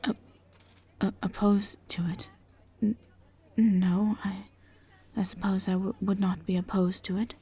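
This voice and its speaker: A woman playing a gentle, reserved vampire in a roleplay audio drama, speaking in a hushed, breathy voice with careful, halting, formal phrasing. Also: medium pitch, flat delivery. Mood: hesitant, warm. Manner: halting, careful, formal diction without contractions. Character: gentle, reserved female vampire travelling with a knight.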